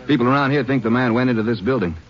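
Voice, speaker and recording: A man's voice: medium pitch, rapid-fire, lightly acted. A man acting a matter-of-fact Texas Ranger in a 1950s radio drama. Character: calm male Texas Ranger. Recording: muffled old radio broadcast.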